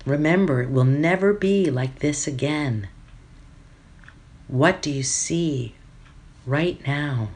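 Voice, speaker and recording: A woman leading a guided meditation as a teacher, giving gentle instructions phrase by phrase with long pauses. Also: deep voice.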